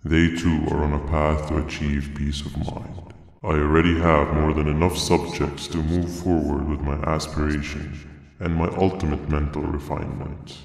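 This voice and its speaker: A deep gravelly jellyfish villain. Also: male voice, full character voice. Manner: calm, measured menace. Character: menacing jellyfish creature, male, cold and calculating. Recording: echoey, reverberant game audio.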